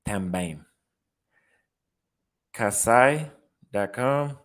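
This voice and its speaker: A man's voice: mid-range voice, lively intonation, drawn-out words. A young man with a Texas accent, chatting casually in a vlog and reacting aloud as he browses apartment listings, repeating his phrases. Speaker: vlogger and barber.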